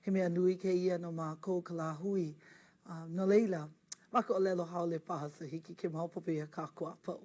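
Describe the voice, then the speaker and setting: A woman's voice: lowish voice, steady tone, brisk, dark tone. A middle-aged woman with a Hawaiian accent hosting a televised Hawaiian language lesson, chatting casually and mixing Hawaiian words into her English. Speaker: Hawaiian language teacher and show host.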